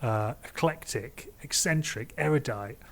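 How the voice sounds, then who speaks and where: A man's voice: medium pitch, unhurried, a bit bright. A man from England hosting a podcast, chatty and rambling, often laughing. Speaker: podcast host. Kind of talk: casual podcast conversation.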